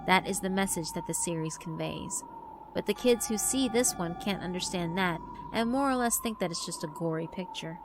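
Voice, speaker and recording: A woman's voice: fairly low pitch, moderate pace. A woman reading scripted narration for a horror visual novel. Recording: music behind the voice. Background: background music.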